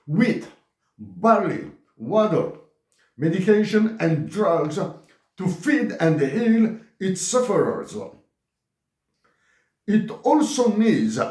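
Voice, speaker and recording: A man from Algeria, whose first language is Tamazight, reads an open letter aloud in a slow, emphatic way, restarting words now and then. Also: high voice, darkish tone, roomy sound. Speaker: commentator.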